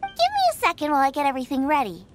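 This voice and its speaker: A woman voicing a cheerful, food-obsessed young girl chef with an excitable, breezy manner in a video game. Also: high voice, very fast, over music. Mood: cheerful, confident. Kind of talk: scripted game dialogue.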